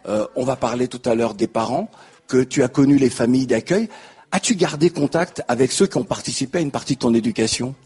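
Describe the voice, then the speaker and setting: A man hosting an interview, putting formally worded questions in halting, deliberate phrases. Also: mid-range voice, a little mellow, breathy edge. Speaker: interviewer, host.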